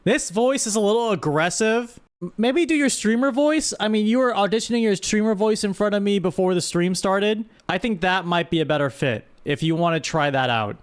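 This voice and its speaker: A man's voice: high voice, fairly quick, clear, resonant tone. A man hosting a casual livestream story game, reading aloud and commenting with playful mock-exasperation. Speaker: streamer hosting a chat story-writing game. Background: background music.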